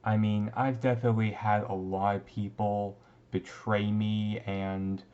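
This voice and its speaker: A man acts out a young male vampire student, secretive and easily flustered, confiding in a classmate in an intimate ASMR roleplay. He speaks slowly and haltingly in a lowish voice, with stammers and pauses. The recording is a little muffled and lo-fi. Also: little pitch movement, a little mellow, lightly acted. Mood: relieved, trusting.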